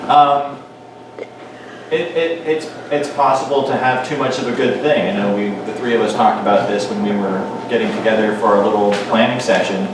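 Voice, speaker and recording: Hesitant man on a panel, far off. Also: lowish voice. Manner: thoughtful, hesitant, with many restarts. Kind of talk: panel discussion answer. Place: event hall. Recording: echoey hall from far off, noisy.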